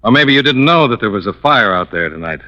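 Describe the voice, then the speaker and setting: Crisp, forceful American radio detective. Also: male voice, mid-range voice, expressive, fast talker. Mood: wry, suspicious. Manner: clipped, dry, questioning. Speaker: actor playing the lead in a radio detective drama. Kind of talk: old-time radio detective acting and first-person narration. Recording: dull old radio recording.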